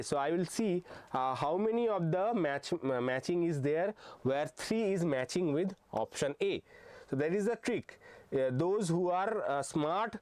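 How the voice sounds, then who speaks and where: A man's voice: a little high, darkish tone, no echo. Man teaching physics live. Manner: explains step by step, often checking in with okay; frequent hesitations with uh and um. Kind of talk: live online exam-prep lesson.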